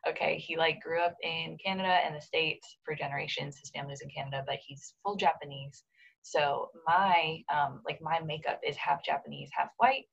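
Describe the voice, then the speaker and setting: A woman's voice: deep voice, fairly even intonation, normal speed, creaky, a bit bright, breathy edge, lo-fi sound. A woman in her twenties, a podcast guest, telling her background casually with fillers and light laughs. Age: 20s. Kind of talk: casual conversational storytelling.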